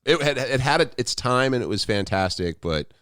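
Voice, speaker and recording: A man's voice: mid-range voice, animated, normal speed, a bit bright, clean tone, dry recording, studio quality. A man talking casually as a podcast guest, joking and rambling as he goes. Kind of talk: casual chat.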